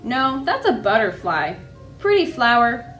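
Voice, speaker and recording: A woman's voice: on the high side, very animated, a little slow, fairly bright tone, roomy sound, soft background music. A woman voicing an eager, bossy young male rabbit in a storybook, exclaiming and insistent.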